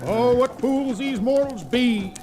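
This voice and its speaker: A man's voice: extremely high pitch, slow pace, over music. A man acting a droll, wordy sidekick in an old radio western, with a wry, theatrical manner. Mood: dry dismay. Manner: theatrical, wry, mock-literary. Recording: noisy old radio drama recording.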